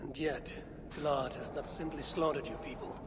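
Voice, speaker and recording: An actor playing a proud Norse god, imperious and blunt, with formal archaic phrasing in a video game drama. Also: male voice, on the high side, fairly even intonation, moderate pace, heavy background noise, close to an everyday voice. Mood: resolute. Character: proud, commanding male Norse god, lord of Asgard.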